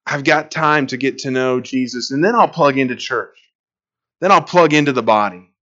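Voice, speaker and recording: A man's voice: mid-range voice, normal speed, bright, forceful tone, highs cut off. A middle-aged man preaching a sermon as a pastor, telling stories in a conversational way. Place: church sanctuary.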